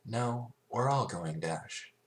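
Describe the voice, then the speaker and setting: A man's voice: fairly low pitch, animated, slow pace, slight background noise, voice pushed up. A man voicing a thoughtful, reassuring young female pony who leads her friends, articulate and encouraging, in a story reading. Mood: reassuring, focused.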